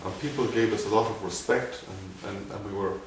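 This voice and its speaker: Man, middle-aged, Dublin Irish accent, deep-ish, hesitant, echoey distant room. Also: a little hiss or hum. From Ireland. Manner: halting, many restarts and fillers. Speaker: guest, doctor. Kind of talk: conversational interview. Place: museum room.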